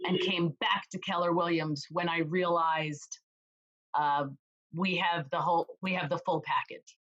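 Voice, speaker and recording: A woman real estate agent chatting as an interview guest, with a loud voice, telling stories lively and acting out what others said. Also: on the low side, a little slow, dull audio. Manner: animated storyteller, acts out quoted voices. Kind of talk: casual interview chat.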